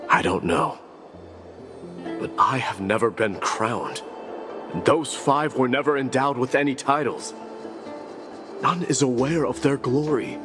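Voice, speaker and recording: A man playing a proud, brooding immortal prince in a video game, speaking in a grave, formal way that turns forceful when roused. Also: medium pitch, slow, long pauses, natural voice. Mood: troubled. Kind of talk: dramatic video game voice acting. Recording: loud background music.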